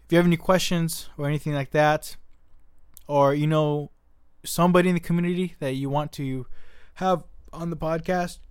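Young guy podcast host, kinda high voice, dry close mic, chill and stammery. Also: moderate pace, clear, resonant tone, no room sound. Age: young adult. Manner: relaxed, stammers on question starts. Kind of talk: casual podcast chat.

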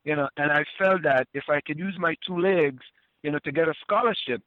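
An older man from Trinidad and Tobago speaks as a guest in a casual interview, earnest and prone to repeating words as he restarts. His voice sits fairly high for a man. It comes through a tinny, dry phone line. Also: fairly quick, no room sound. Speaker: guest, former Wall Street compliance officer.

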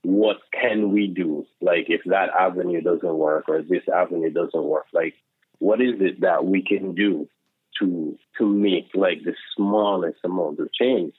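A man, a social worker, talking about himself in a casual chat with a deep voice, earnest and self-assured, full of "like" and "you know". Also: fairly even intonation, a little slow, husky, no echo. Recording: tinny phone-like line, dry.

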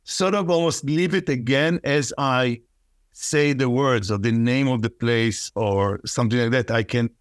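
A man from Israel, whose first language is Hebrew, speaking thoughtfully as a poet guest in an interview, pausing and laughing softly. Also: mid-range voice, dry recording, studio quality. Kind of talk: reflective interview talk.

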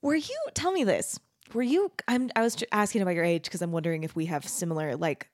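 A woman's voice: fairly low pitch, quick pace, a little mellow, no echo, clean studio sound. A woman in her thirties with an American accent, chatting informally as the host of a conversational interview, often chiming in with agreement. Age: 30s. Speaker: podcast host and university teacher.

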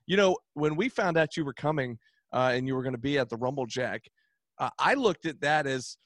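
A man who is a wrestling commentator, talking in a rambling way with many pauses and restarts. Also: mid-range voice, expressive, a bit bright, dry recording. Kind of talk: casual podcast chat.

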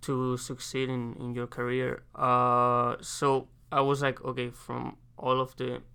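Halting young Spanish-accented man, bright voice. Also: medium pitch, completely flat delivery, creaky, smooth. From Spain. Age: young adult. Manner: halting, searching for English words. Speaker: guest, exchange student. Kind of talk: casual interview answers.

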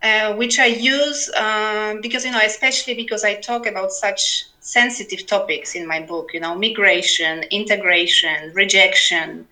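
A woman's voice: mid-range voice, moderate pace, few pauses, pushed and bright, rough recording. A woman, talking conversationally and with wry humor as an interview guest. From Poland. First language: Polish. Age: 40s. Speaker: podcast guest, writer. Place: remote internet call.

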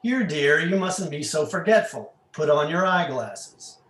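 A man reads the part of a nagging husband in a stage play, his voice on the high side. He chides and questions pointedly, with quiet menace under the words. The room rings noticeably around him. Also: animated, measured pace, slight background noise. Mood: veiled menace. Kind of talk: play reading. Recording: echoey room.

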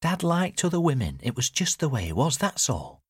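A man's voice: a little high, wildly swooping pitch, normal speed, breathy edge, dry recording, clean studio sound, pitched above the performer's own voice, full character voice. A man voicing an earnest, caring grown daughter with a direct, pleading manner in an audiobook. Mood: consoling. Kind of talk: audiobook character dialogue.

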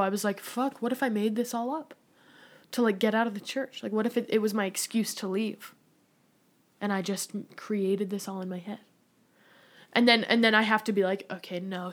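A young adult woman talking candidly as a podcast guest, rambling with lots of filler words. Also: mid-range voice. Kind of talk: casual candid conversation.

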